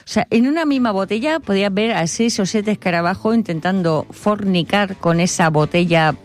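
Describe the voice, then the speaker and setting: A woman's voice: mid-range voice, moderate pace. A woman co-hosting a comedic chat, playful and mock-interviewing with frequent laughter. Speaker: podcast co-host.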